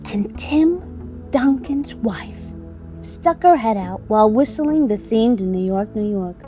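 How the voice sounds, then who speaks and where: An actress plays an exasperated woman spinning a mocking fable in an audio drama. Her voice is high and swings widely as she narrates theatrically. Music plays underneath. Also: slow pace. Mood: dramatic storytelling. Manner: animated, theatrical storytelling. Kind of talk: audio drama dialogue and storytelling.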